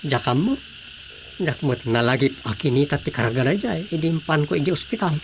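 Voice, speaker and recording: A male actor plays a practical village councilman in a radio drama, with a gravelly, creaky voice. He talks in a concerned, helpful, conversational way over clear background noise. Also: fairly high pitch, moderate pace, lightly acted. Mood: explaining, concerned.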